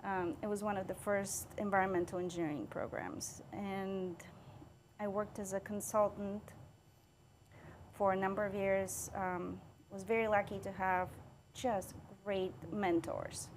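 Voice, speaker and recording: A middle-aged woman from Bulgaria, a city engineering manager recounting her career in a podcast interview, upbeat with many "um" pauses. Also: mid-range voice, fairly even intonation, slight background noise. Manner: upbeat, with frequent "um" fillers.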